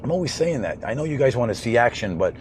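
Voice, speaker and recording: A man with a hoarse voice chatting casually to viewers while driving home, rambling and grumbling at traffic. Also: mid-range voice, very animated, quick pace, rough recording. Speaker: vlogger driving home from a race. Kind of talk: casual driving vlog chat. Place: inside a moving vehicle on the road. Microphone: camera mounted in the vehicle. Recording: loud road noise behind.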